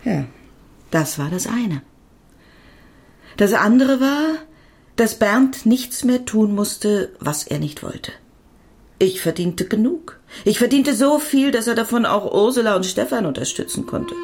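A woman's voice: fairly high pitch, very animated, a little slow, darkish tone. An actress playing a devoted woman doctor who tells of her love in a reflective, intimate radio drama monologue. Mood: reflective, tender.

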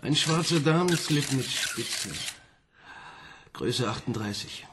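Ein Schauspieler spielt in einem Hörspiel einen barschen, sachlichen Kriminalbeamten. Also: male voice, mid-range voice, animated, slow pace, background noise. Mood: matter-of-fact. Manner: matter-of-fact, gruff. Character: blunt, hot-headed male police detective. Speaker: police detective. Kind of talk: radio play acting.

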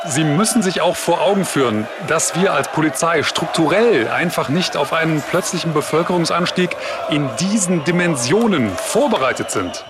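A man playing an officious police spokesman in a mock news interview, using formal official phrasing amid loud street noise. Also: a little high, lively intonation, normal speed, a loud crowd, close to an everyday voice. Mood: officious, defensive. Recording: very noisy urban outdoor background.

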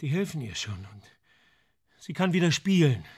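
An anguished, high-voiced screenwriter. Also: male voice, big pitch swings, a little mellow, breathy edge. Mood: pleading, justifying. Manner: agitated, halting, pleading, dropping to murmurs. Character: anguished, guilt-ridden male screenwriter desperate to flee. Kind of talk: German radio play acting.

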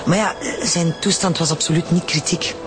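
A woman's voice: lowish voice, steady tone, moderate pace, over music, natural voice. A woman with a Flemish accent playing a breezy, droll forensic doctor in a radio drama. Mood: breezy, informative. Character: breezy, joking female forensic doctor, Veronique Maas.

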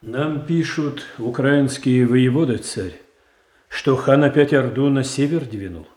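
An actor playing a cunning boyar who speaks calmly, firmly and deliberately in a Soviet-era radio drama. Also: male voice, medium pitch, reverberant, lightly acted. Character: cunning boyar Boris, calm ruler.

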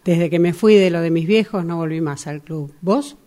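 A woman's voice: lowish voice, moderate pace, mellow, close to an everyday voice. An Argentine woman acting in a radio drama as an awkward, hesitant woman evasively humouring an old friend. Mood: awkward, polite. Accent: Argentine, Rioplatense.

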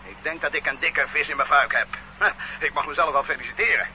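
A man playing a gruff, bullying street cop. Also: fairly high pitch, a little fast, lightly acted. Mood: gloating. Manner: gruff, scolding, self-satisfied. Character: bullying, greedy male street policeman. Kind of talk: radio play acting. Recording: dull old radio recording, faint hum.

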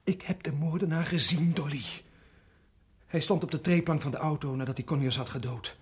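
Doomed prisoner, very breathy and husky, brooding, haunted, radio play. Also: male voice, a little high, fairly quick. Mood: agitated, insistent. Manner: subdued, brooding, weary. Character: anguished, haunted condemned male prisoner protesting innocence. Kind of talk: radio drama acting.

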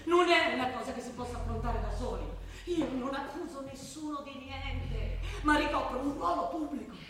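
An actress playing an embattled school principal in a stage drama, insistent, formal and defensive. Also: female voice, high-pitched, moderate pace, lightly acted. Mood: grave, defensive. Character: embattled female high school principal, adamant and indignant. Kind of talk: theatrical stage drama acting. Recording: echoey hall, distant mic, faint noise.

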